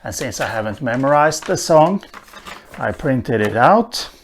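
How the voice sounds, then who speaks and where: Swedish-speaking guy explaining a language lesson, real slow, lots of uh's, mid-pitched voice. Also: animated, slight background noise. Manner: slow and thoughtful, with many "uh" pauses. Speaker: language teacher. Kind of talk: unhurried spoken lesson on a language.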